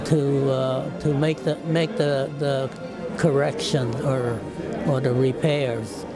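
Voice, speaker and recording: Slow, halting man in his nineties. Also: mid-range voice, fairly even intonation, loud music behind the voice, over a noisy crowd. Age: 90s. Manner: halting, many restarts, chuckles often. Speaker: war veteran. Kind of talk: casual reminiscing.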